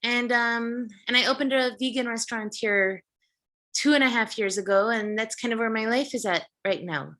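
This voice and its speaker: Bright, high-voiced woman guest. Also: moderate pace. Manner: reflective, thinks aloud with fillers and restarts. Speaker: guest, vegan restaurant founder and chef. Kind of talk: casual conversational interview.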